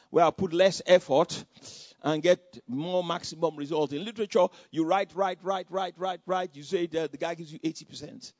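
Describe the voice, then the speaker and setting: A Nigerian man preaching, telling a personal testimony in an animated storytelling way with repetitions. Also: on the high side, no echo. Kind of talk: sermon testimony. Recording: dull lo-fi sound.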